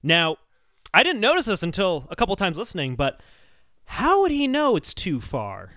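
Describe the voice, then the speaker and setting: A man co-hosting a comedic podcast, bantering in an animated, mock-argumentative way. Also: high-pitched, moderate pace, dry recording, clean studio sound.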